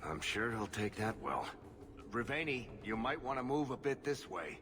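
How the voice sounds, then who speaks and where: An actor playing a sly, wisecracking storyteller in a video game, with a joking manner. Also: male voice, medium pitch, sing-song, normal speed, in a large hall, over music, natural voice. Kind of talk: video game cutscene acting.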